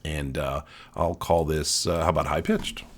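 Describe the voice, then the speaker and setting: A man presenting a casual, conversational software walkthrough, explaining as he goes. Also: very deep voice, flat delivery, moderate pace. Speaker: tech tutorial presenter. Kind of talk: casual screen-share walkthrough.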